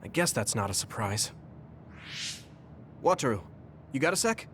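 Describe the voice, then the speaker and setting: A man playing an earnest, caring young soldier in a video game, speaking sincerely and clearly. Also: mid-range voice, very animated, moderate pace, a little hiss or hum, lightly acted. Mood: concerned, helpful. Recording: background music under dialogue. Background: game soundtrack music.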